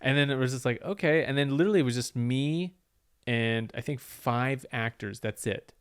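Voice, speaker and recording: A man's voice: medium pitch, normal speed, clean tone. A man, a comedian, telling a rambling story in casual chat and acting out the quoted lines.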